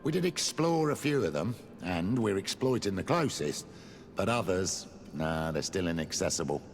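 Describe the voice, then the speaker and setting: Plain-spoken miner, heavy reverb. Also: male voice, low voice, expressive, normal speed, faint music behind. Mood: matter-of-fact. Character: plain-spoken, cautious village miner, helpful and practical. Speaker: voice actor in a fantasy video game. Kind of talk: game dialogue.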